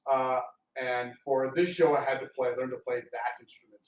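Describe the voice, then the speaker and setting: A man's voice: mid-range voice, fairly even intonation, normal speed, highs cut off. A man speaking casually and ramblingly to an audience, telling stories with teasing asides. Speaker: radio theatre actor and panel speaker. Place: indoor talk in a roomy space. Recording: roomy, distant, noisy live room recording.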